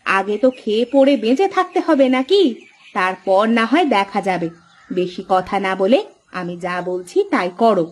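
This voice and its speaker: A woman voicing a sharp-tongued, practical fisherman's wife in a cartoon, bossy and scolding. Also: medium pitch, animated, normal speed, natural voice. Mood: practical, coaxing. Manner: nagging, scolding. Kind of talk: Bengali cartoon story voice acting.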